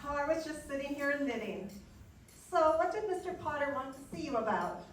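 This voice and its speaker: A woman's voice: high voice, lively intonation, a little fast. A woman playing an affectionate, teasing young wife, soothing and playful, in a live radio play. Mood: tender. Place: live stage in a dance hall. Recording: echoey noisy dance hall, distant mic.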